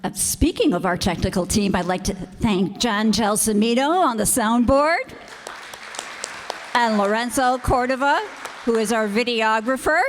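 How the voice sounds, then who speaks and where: A woman's voice: fairly high pitch, a little slow, some chatter behind, slight background noise. A woman giving closing thank-you remarks to an audience as host of a community theater group. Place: community auditorium stage. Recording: echoey hall, picked up in reverberant room.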